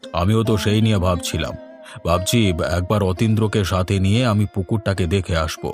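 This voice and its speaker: A man's voice: low-pitched, steady tone, a little fast, faint music behind, close to an everyday voice. A man voicing a mild, inquisitive village man in a cartoon, with a questioning, conversational manner. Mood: puzzled. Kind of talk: cartoon voice acting.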